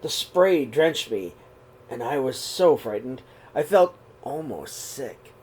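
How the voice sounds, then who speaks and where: A middle-aged man reading a children's picture book aloud in his living room, then talking warmly to young listeners, with a gentle, unhurried pace and the odd throat clear. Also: medium pitch, very animated. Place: living room, seated on a sofa before curtains. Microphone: webcam or laptop camera at close range.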